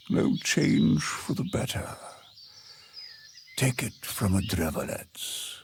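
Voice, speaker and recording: An actor playing a wise, patient old tree creature who tells lore in a solemn, formal, gravelly voice for a fantasy game. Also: male voice, medium pitch, noisy background, heavily acted. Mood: sage advice.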